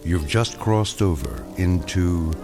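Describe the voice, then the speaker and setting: An actor playing an ominous, wry, measured narrator of a radio drama anthology in a dramatic scripted style. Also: male voice, deep voice, lively intonation. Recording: loud music behind.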